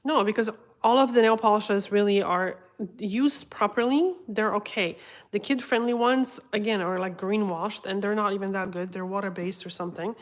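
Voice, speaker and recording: A woman's voice: mid-range voice, moderate pace. Frank woman with a non-native lilt. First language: not English. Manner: frank, opinionated, many fillers. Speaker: nail technician co-host. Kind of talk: casual livestream chat.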